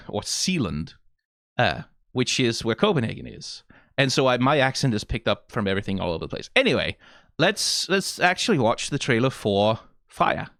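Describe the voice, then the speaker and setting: A man's voice: mid-range voice, a bit bright, dry recording, studio quality. Wry Danish-accented man. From Denmark (Funen). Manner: wry, playful, swears for emphasis. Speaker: YouTuber reacting to a game trailer. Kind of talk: casual commentary.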